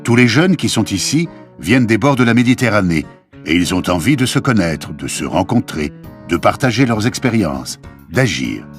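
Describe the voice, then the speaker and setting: An actor playing an upbeat television reporter in a scripted language-lesson report. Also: male voice, lowish voice, sing-song, normal speed, faint music behind. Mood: upbeat, announcing. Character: upbeat male television reporter interviewing young festival-goers.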